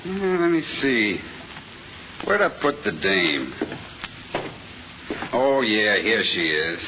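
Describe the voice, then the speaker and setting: A chatty working-class morgue attendant with a very lively, up-and-down delivery. He rambles in casual slang, in old-time radio drama style. The old recording is dull and clearly noisy. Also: fairly low pitch, slow pace. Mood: grimly casual.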